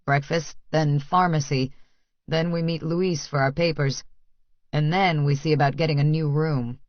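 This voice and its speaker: A woman voicing a dazed, laconic man in a romance audiobook, in a clipped, low-key manner. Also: fairly even intonation, moderate pace, dry recording, lo-fi sound, lightly acted. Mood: matter-of-fact.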